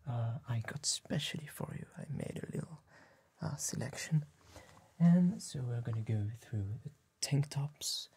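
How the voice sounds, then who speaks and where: A man, playing a polite, attentive tailor in a gentle, whispered and soft-spoken ASMR roleplay, with hesitant pauses. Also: mid-range voice, animated, slightly rough. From France. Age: 20s. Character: polite, attentive bespoke tailor serving a client. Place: small dim tailor's workshop set, indoors.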